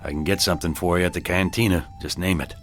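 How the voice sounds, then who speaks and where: Weary, very deep-voiced Western sheriff. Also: male voice, steady tone, quick pace, a bit bright, soft background music. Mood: quietly kind. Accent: American. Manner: terse, sardonic, world-weary. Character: weary, plain-spoken small-town Western sheriff, grown man. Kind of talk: radio drama acting.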